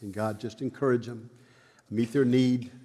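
A man's voice: mid-range voice, steady tone, moderate pace, dark tone, husky. A man who stutters, giving church announcements and leading a prayer with many repeats and fillers. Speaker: preacher. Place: church sanctuary. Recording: long hall reverb.

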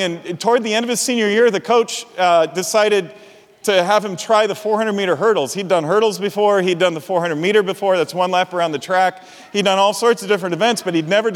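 A middle-aged male pastor preaching a sermon, telling a personal story with frequent chuckles. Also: high voice. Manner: animated storyteller, chuckles often. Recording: reverberant hall.

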